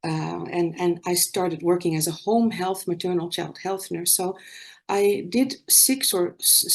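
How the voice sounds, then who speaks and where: A woman's voice: fairly low pitch, darkish tone. A woman in her sixties with a Dutch accent, giving an earnest, storytelling conference talk over a video call. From Netherlands. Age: 60s. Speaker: conference speaker, nurse.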